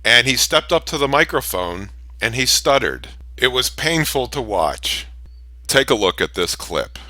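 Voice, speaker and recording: A man's voice: medium pitch, sing-song, unhurried, pushed and bright, no room sound. A man presenting business advice in an instructional monologue, speaking emphatically in short, punchy statements. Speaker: branding expert, presenter.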